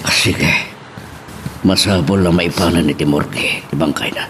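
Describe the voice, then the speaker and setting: A man acts out a troubled father in an Ilocano audio melodrama. His voice is deep, with an Ilocano accent, and he delivers his remorse gravely and dramatically. Noise sits behind the voice. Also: steady tone, unhurried. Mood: heavy regret. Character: troubled, regretful father protective of his child. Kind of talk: Ilocano radio-style melodrama acting.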